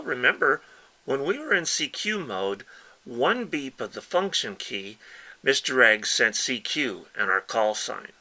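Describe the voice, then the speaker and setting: A man's voice: mid-range voice, sharp tone, poor audio. A man presenting a casual step-by-step tutorial, explaining patiently in short, simple steps as an instructor. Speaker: instructor and presenter demonstrating a ham radio keyer.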